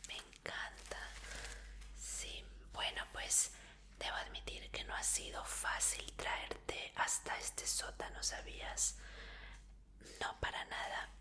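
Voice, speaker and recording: A woman's voice: takes it slowly, sharp tone. A woman voicing an obsessive female fan who holds her idol captive, in a whispered roleplay, coaxing and apologetic. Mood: adoring. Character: obsessive female fan, sweetly creepy captor.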